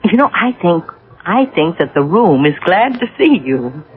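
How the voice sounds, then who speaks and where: A woman's voice: lowish voice, sing-song, moderate pace, soft background music. An actress playing an earnest, uneasy middle-aged married woman in an American radio drama, intense and a little hesitant. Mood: earnest, coaxing. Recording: muffled phone-like old radio broadcast.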